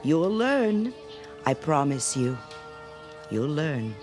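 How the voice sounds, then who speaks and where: Stern, low-voiced schoolmistress. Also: female voice, sing-song, very slow, darkish tone, soft background music, exaggerated voice. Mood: insistent. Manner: prim, precise, insistent, condescending. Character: stern, self-righteous female schoolteacher, brisk and domineering. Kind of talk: vintage-style radio drama acting.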